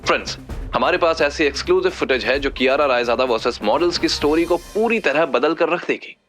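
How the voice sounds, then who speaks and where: A man playing an excited, emphatic male television news anchor in an audio drama. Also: fairly high pitch, a little fast. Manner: punchy, declarative, emphatic. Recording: background music under the voice.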